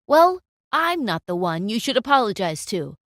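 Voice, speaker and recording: A woman voicing a calm, stern brand owner. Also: mid-range voice, normal speed, dry recording, studio quality.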